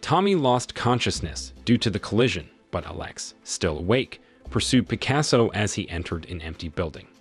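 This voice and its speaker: A man narrating a movie recap in a steady, even storytelling delivery, with a husky voice. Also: lowish voice, normal speed. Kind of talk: scripted narration.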